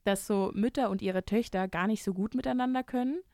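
A woman's voice: medium pitch, brisk, mellow, no room sound, clean studio sound. A young woman from Bavaria co-hosting a podcast, talking in a rambling, self-mocking way and laughing often. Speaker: podcast co-host. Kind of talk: casual chat.

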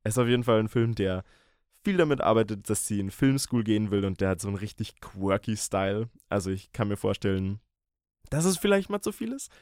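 A man's voice: lowish voice, expressive, darkish tone, no room sound, clean studio sound. A young man co-hosting a casual film podcast, playful and teasing. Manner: playful, teasing, quick with jokes. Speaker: podcast co-host.

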